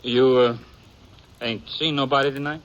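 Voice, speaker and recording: An actor playing an eager young cowboy in a 1950s radio western, a smooth talker with a folksy, hesitant American Western drawl. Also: male voice, mid-range voice, steady tone, normal speed, fairly bright tone, close to an everyday voice. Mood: probing. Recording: muffled, noisy 1950s radio recording.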